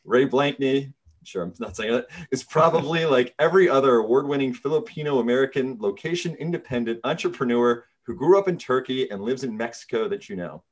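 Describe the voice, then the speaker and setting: A man's voice: medium pitch, lively intonation, brisk. A man hosting a podcast, chatting casually and laughing often. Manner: laughs often, friendly and joking. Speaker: podcast host. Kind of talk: casual interview chat.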